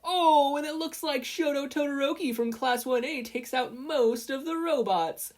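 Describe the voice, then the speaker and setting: A narrator voicing a loud, excited male sports announcer with drawn-out, shouted delivery. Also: high-pitched, pitched above the performer's own voice, close to an everyday voice. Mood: hyped.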